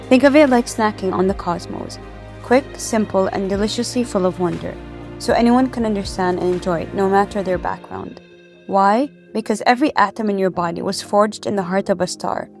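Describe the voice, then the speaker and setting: Eager woman hosting a science podcast. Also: medium pitch. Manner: eager, upbeat, self-described nerd. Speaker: podcast host. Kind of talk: enthusiastic scripted intro, then interview questions.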